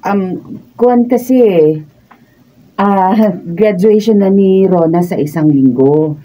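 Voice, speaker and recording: A woman's voice: medium pitch, slow, dark tone. An actress playing a humble, pleading poor mother in a Filipino radio drama. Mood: pleading, hesitant. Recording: dull distant mic, faint noise.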